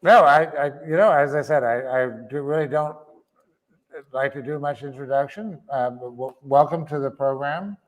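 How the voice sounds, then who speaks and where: A man's voice: on the high side, moderate pace, poor audio. A man hosting a webinar over a video call, brief and a little stumbling as he welcomes a guest. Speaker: webinar host.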